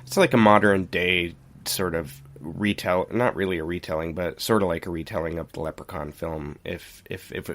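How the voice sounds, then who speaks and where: A man with a fairly low voice talks as a podcast co-host. He is informal and candid, chatting through a casual review in plain, loose language. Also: normal speed, hardly any pauses, a bit bright, slight background noise. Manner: informal, candid, mildly profane. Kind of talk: casual film review chat.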